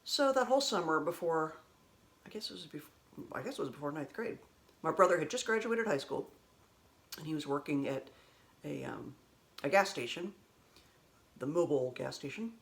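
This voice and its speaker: Low-voiced lady rambling through old stories, chatty, laughs a lot. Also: lively intonation, moderate pace, long pauses. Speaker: vlogger. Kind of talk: casual storytelling.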